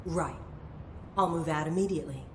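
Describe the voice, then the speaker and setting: A no-nonsense woman scientist gives orders in a low, firm voice, as an actress plays her. She speaks briskly and to the point. A clear noise sits behind the game audio. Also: lightly acted. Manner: brisk, commanding. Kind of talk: game voice acting.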